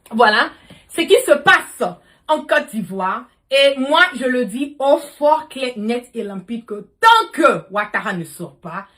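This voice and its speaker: A woman's voice: on the high side, a bit bright. A woman delivering an impassioned political livestream rant, emphatic, repetitive and confrontational. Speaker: livestream host.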